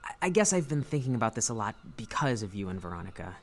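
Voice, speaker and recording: A man's voice: lowish voice, big pitch swings, fast. A nervous, self-deprecating young man. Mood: wistful, confiding. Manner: rambling, hesitant, nervous chuckles. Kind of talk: audio drama dialogue acting.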